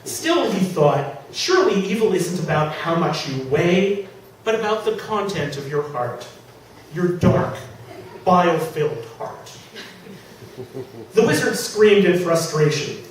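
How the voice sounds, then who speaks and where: A man reading his own comic story to a live audience, animated and exclaiming, with digressive asides. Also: high voice, a little slow. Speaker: author reading own fiction. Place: public reading event in a venue. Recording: echoey room from far off, faint noise.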